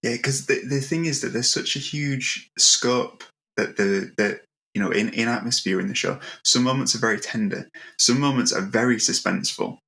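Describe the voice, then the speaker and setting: A man hosting a casual interview, haltingly working his way through long questions with repeated words. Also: medium pitch, vocal fry, sharp tone. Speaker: interviewer, host.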